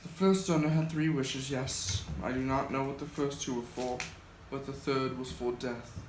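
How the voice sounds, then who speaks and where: A man's voice: medium pitch, steady tone, normal speed, close to an everyday voice. A young man in an amateur school play acting a reluctant, wary middle-aged army sergeant major. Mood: reluctant, grave. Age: young adult. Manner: reluctant, warning. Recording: echoey room, faint noise.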